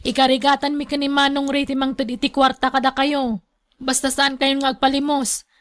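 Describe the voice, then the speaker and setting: A woman's voice: high-pitched, monotone, moderate pace, close to an everyday voice. A woman playing a concerned younger daughter in a radio drama, earnest and imploring. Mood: pleading. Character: concerned younger woman pleading with an elderly father. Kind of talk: Ilocano radio drama acting.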